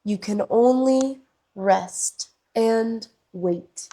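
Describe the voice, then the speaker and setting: A woman hosting a slow English podcast, speaking in short, deliberate phrases with long pauses, a little congested and coughing from a cold. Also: a little high, mellow. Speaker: podcast host and English teacher.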